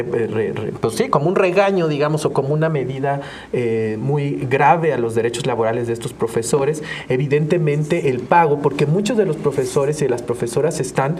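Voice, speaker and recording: A man's voice: mid-range voice, darkish tone. A man with a Mexican accent speaking as a human rights advocate in a panel discussion, earnest and explanatory, often rephrasing himself. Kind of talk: spoken commentary in a panel discussion. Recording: clear background noise with room ambience.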